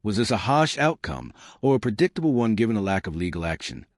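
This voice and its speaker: A man's voice: fairly low pitch, big pitch swings, quick pace, no echo, clean studio sound. A man narrating scripted, dramatic commentary.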